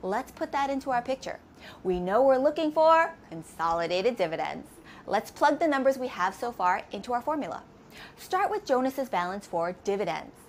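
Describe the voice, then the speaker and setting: A woman's voice: fairly high pitch, slight background noise. A woman instructor narrating a scripted lesson step by step, methodically, posing questions and answering them herself. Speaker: accounting instructor.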